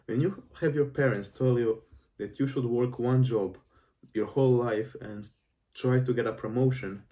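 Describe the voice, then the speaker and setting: A man's voice: mid-range voice, dark tone, distant mic. A nineteen-year-old man from Croatia with a Croatian accent, talking in an earnest, rambling way to his audience about the jobs he has worked. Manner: earnest, rambling with restarts and fillers. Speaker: self-improvement vlogger. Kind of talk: casual monologue to camera.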